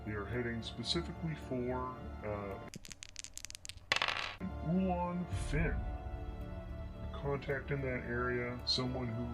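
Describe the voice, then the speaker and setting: A man tells an improvised tabletop adventure in a mid-range voice. He moves through it haltingly, stopping to find his words, as solo narrator and game master. Instrumental music plays strongly underneath him. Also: medium pitch, rough recording. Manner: halting, pausing and searching for words. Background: prominent instrumental music.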